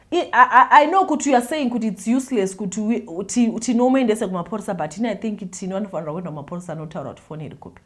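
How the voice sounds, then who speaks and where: A Zimbabwean woman reading a listener's confession aloud and commenting on it, mixing Shona and English in an animated way. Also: mid-range voice.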